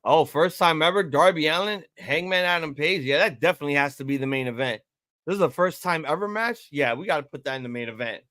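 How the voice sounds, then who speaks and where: A man's voice: a little high, fast, no echo, studio quality. Profane rambling man in his thirties. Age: 30s. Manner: rambling, profane, with loud excited outbursts. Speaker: live-stream host. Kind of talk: casual live reaction commentary. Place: home room at a table.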